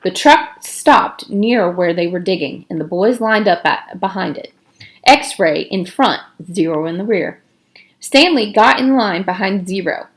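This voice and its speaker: A woman narrating a children's novel aloud in an expressive storytelling style. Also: medium pitch, measured pace.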